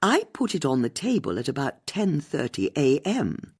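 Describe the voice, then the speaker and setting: A woman's voice: sits low, huge pitch swings, moderate pace, a little mellow, husky, exaggerated voice. A woman voicing a shrewd, triumphant older female amateur sleuth in an audiobook, speaking precisely and theatrically as if laying out a case. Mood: triumphant, explaining. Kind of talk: audiobook character dialogue.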